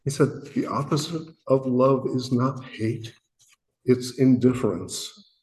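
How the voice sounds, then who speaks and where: A man from Illinois with a booming voice, speaking earnestly and self-deprecatingly to a worship meeting, often pausing and restarting his sentences. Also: darkish tone, rough recording. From Illinois, USA. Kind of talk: reflective spoken message.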